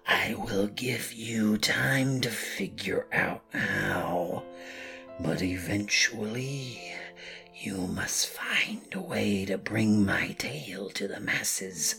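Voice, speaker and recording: Insect monster, deep buzzy hiss, gravelly, very slow and cold. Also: male voice, bright, forceful tone, soft background music, heavily acted. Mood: whispering. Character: monstrous earwig creature with a buried face. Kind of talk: horror story narration.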